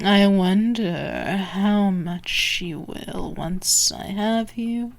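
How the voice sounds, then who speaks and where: A woman playing a dominant, predatory female vampire in an ASMR roleplay, taunting and sultry, often slipping into breathy whispers. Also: medium pitch. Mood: taunting, menacing. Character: dominant, teasing, predatory female vampire stalking her prey.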